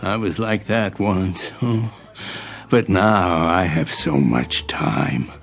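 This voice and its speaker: A man's voice: low-pitched, slow pace, vocal fry, full character voice. An actor playing a genial, courtly old man in an audio drama, with a folksy, old-fashioned and wistful manner. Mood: wistful, gentle. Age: older. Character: genial, courtly, idle old gentleman regular. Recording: faint music behind.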